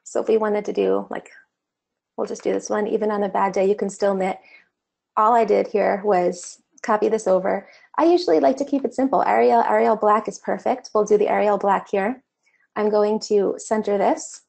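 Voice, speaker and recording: A woman's voice: medium pitch, fairly even intonation, normal speed, vocal fry, a little mellow. A woman teaching an online course, walking through a screen-share tutorial in a casual, conversational way, her voice a little worn. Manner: casual, conversational explaining with fillers. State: slightly losing her voice.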